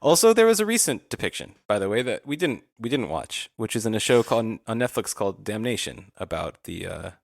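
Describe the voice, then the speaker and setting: Guy podcasting, lowish voice, rambly casual recap, dry close sound. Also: sing-song, a little fast, gravelly, hardly any pauses, dry recording. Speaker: podcast host.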